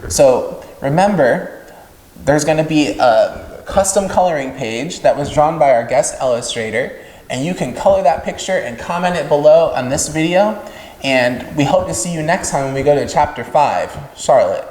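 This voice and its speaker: A man reading a storybook aloud to children in an expressive narrating style. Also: fairly high pitch, normal speed. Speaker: children's story-time reader. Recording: echoey room with long reverb.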